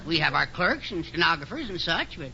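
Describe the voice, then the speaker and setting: An actor playing a wry, folksy, wisecracking business partner in a 1940s radio situation comedy. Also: male voice, a little high, very animated, fairly quick, vocal fry, sharp tone, full character voice. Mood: dry wisecracking. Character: wisecracking, easygoing office partner. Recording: muffled 1940s radio recording, faint noise.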